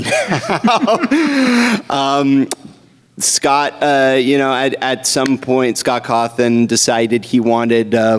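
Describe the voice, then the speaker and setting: A man, a voice actor on a convention panel, chatting casually, rambling with many fillers and restarts and chuckling often. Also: fairly low pitch. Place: convention hall. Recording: convention hall reverb.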